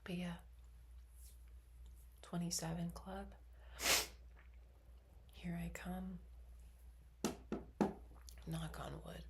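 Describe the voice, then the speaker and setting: A woman in her twenties whispering in a soft, rambling ASMR monologue, full of fillers. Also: on the low side, level tone, drawn-out words, long pauses. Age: 20s. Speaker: ASMR creator. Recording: faint background noise.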